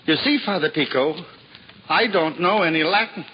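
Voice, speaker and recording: A man's voice: fairly high pitch, animated, normal speed, very noisy, natural voice. A man playing a humble aging juggler turned lay brother in a 1950s radio drama, speaking hesitantly and apologetically. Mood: apologetic. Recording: dull old radio broadcast.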